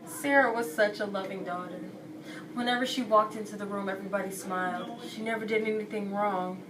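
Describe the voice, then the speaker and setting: A woman's voice: medium pitch, fairly even intonation, brisk, lightly acted. A woman playing a loving mother who speaks fondly of her daughter. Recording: echoey, noisy room, distant mic.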